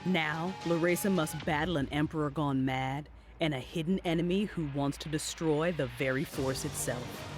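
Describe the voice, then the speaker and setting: A man's voice: fairly high pitch, moderate pace, soft background music. A man announcing a promo in scripted, dramatic trailer narration.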